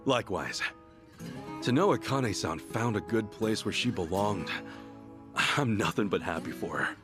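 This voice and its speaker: A man's voice: fairly low pitch, lively intonation, moderate pace, music in the background, natural voice. A man playing an earnest, boisterous, good-hearted hero in a video game, talking casually and expressively. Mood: friendly, eager. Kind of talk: animated game cutscene acting.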